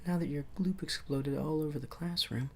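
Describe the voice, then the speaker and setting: A man's voice: on the high side, fairly even intonation, normal speed, creaky, slight background noise, pitched above the performer's own voice. A man voicing an earnest, remorseful young schoolgirl in a storybook reading. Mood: guilty.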